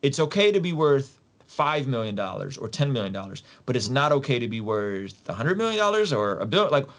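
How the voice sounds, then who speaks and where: A man's voice: medium pitch, big pitch swings, fairly quick. A man from Soviet Russia, a podcast guest explaining things in an emphatic, casual, slangy way, repeating phrases and posing rhetorical questions.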